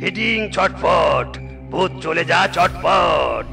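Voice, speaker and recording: Gravelly, blustering cartoon exorcist. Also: male voice, a little high, lively intonation, extremely slow, over music, full character voice. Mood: bombastic chanting. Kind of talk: cartoon voice acting.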